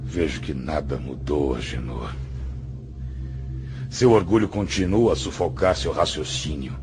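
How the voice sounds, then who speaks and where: A man's voice: extremely low pitch, a little slow. A man acting in a radio drama as a stern, patient spiritual master, speaking in a solemn, admonishing and didactic way. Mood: grave reproach. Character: stern, patient male spiritual master, grave moral counselor. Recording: music under the voice.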